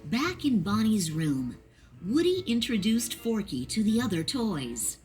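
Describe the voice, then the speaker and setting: A woman narrating a children's read-along storybook in a clear, expressive storytelling manner. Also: mid-range voice, a little slow, faint music behind.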